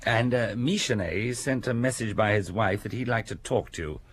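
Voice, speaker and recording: Husky, earnest police sergeant. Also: male voice, lowish voice, normal speed. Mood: matter-of-fact, dutiful. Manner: matter-of-fact, conversational, musing. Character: earnest, puzzled male police subordinate reporting to chief. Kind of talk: radio drama acting.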